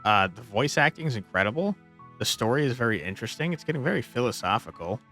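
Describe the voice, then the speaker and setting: A man's voice: mid-range voice, lively intonation, quick pace, sharp tone, soft background music. A man hosting a gaming video with casual, informal, enthusiastic commentary. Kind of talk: casual game commentary, sometimes reading game text aloud.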